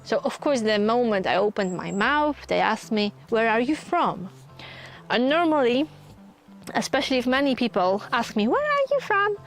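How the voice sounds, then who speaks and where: A woman's voice: a little high, very animated, normal speed. A woman from Poland with a Polish accent, chatting casually on a live stream from her touring caravan and answering viewer comments. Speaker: channel host.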